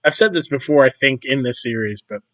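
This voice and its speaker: A man losing his voice, chatting casually and rambling while playing a video game, often clearing his throat. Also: mid-range voice, animated, fairly quick, sharp tone. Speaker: gaming YouTuber. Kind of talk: casual commentary over gameplay.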